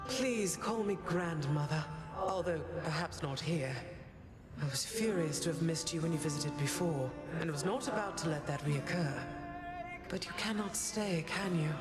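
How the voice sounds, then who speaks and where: A woman playing a regal, reserved but tender grandmother goddess in a fantasy game, speaking in a formal, measured, dignified way. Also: low-pitched, close to an everyday voice. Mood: stately, tender. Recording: long echoing reverb under loud music.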